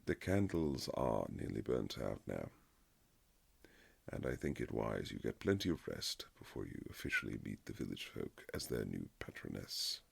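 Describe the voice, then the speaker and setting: A man playing a courtly Regency nobleman husband, tender and gently teasing, in a husky romantic roleplay with formal, old-fashioned phrasing. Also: very animated, normal speed, full character voice. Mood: warm, fond. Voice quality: very low, husky, gravelly. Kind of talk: romantic boyfriend ASMR roleplay, period drama.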